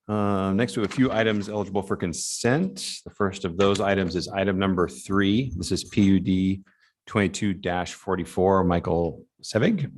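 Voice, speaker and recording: Male committee chair, deep voice, formal and procedural delivery. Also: moderate pace. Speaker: commission chair running a public hearing. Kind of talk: formal meeting procedure, partly read out.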